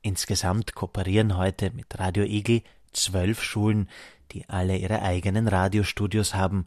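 Male radio narrator, deep register, measured scripted read, very dry recording. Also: level tone, a little mellow, no echo.

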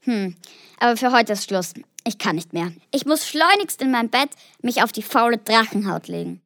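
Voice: medium pitch, expressive, normal speed, a bit bright, no echo, studio quality, lightly acted. A child playing a helpful little dragon who lives in a school attic, in a children's audio play. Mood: weary.

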